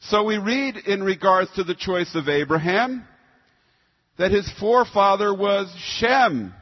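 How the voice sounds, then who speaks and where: High-voiced male preacher in a reverberant hall. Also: unhurried, breathy edge. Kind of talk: sermon with scripture readings. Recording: muffled sound in a long-reverb hall.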